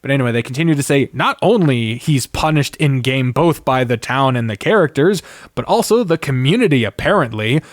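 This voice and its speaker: A man's voice: mid-range voice, lively intonation, fairly quick, dry recording. Exasperated, sweary man in a dry recording. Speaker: podcast host. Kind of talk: casual opinionated rant with read-out comments.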